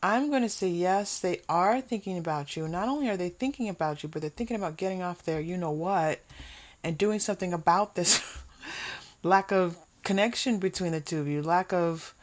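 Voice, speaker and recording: A woman hosting an unscripted tarot reading, talking conversationally to viewers and often pausing or repeating phrases as thoughts come to her. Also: fairly low pitch. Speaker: tarot reader hosting a pick-a-card reading.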